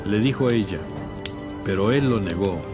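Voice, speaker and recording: A man's voice: lowish voice, moderate pace. Male Bible narrator over music. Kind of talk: scripted dramatized Bible narration. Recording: music bed behind narration.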